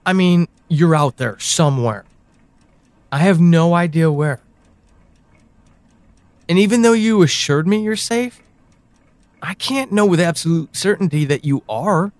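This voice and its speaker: Man acting as anxious conflicted man, slightly high voice, very slow halting delivery, audio drama monologue. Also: animated, no room sound. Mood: troubled, protective. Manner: hesitant, halting, sighing. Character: anxious, conflicted man leaving voice messages to a missing loved one.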